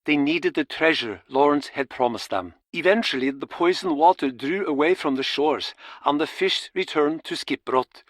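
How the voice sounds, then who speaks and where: A solemn male storyteller from an adventure game, played by an actor, recounts an old legend in measured, dramatic phrases. His voice sits somewhat high for a man. The recording is dry and close. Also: no room sound, close to an everyday voice.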